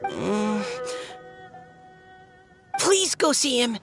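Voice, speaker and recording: A young woman playing an earnest, kindly, excitable mapmaker in a video game, speaking in a heartfelt way. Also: on the high side, drawn-out words, creaky, music in the background, full character voice. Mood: pleading, sincere. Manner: heartfelt, stammers when flustered. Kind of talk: scripted video game voice acting.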